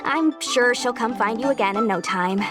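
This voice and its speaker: A woman's voice: on the high side, normal speed, over music, natural voice. A woman playing a friendly, confident and eager young heroine in a video game. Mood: friendly, concerned. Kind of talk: video game dialogue acting.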